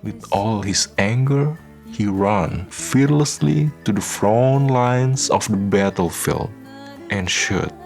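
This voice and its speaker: Deep, gravelly, slow male narrator. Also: a little mellow. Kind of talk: scripted radio drama narration. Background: music.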